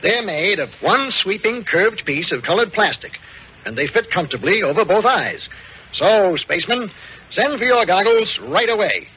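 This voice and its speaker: A man reading a 1950s radio advertisement as an enthusiastic sales pitch. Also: animated, moderate pace. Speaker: commercial announcer. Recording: dull, faintly hissy 1950s radio recording.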